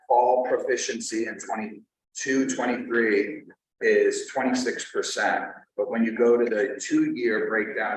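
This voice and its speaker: A man at a school board meeting, methodically reading out percentages and questioning the data. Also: lowish voice. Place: large meeting room. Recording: very echoey, distant, faint room noise.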